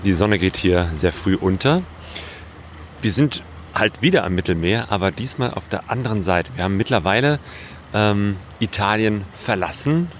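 A man narrating a casual travel vlog outdoors, with a relaxed manner full of fillers. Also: fairly low pitch, moderate pace, slight background noise. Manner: relaxed, filler-heavy talk. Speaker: travel vlogger.

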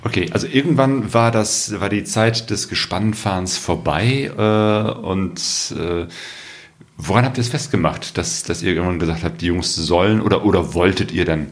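A man's voice: deep voice, normal speed. A man hosting a podcast, asking questions in a casual, chatty interview style.

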